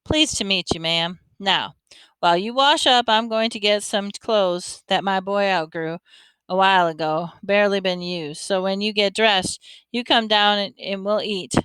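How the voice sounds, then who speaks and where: A woman's voice: medium pitch, moderate pace, fairly bright tone, clean tone, no room sound, close to an everyday voice. A woman voicing a caring, scolding middle-aged mother who fusses over a boy. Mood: motherly. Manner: fussing, motherly.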